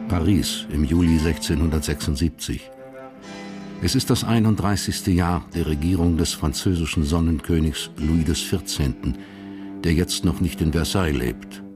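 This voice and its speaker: A man with a low voice narrates a period crime play. His delivery is measured, sober and literary, with faint music in the production. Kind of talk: scripted narration of a radio crime drama.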